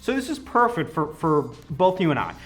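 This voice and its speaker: A man's voice: fairly high pitch, sing-song, moderate pace. A man working as a company product representative, explaining a product enthusiastically in a conversational interview. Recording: music playing behind the voice.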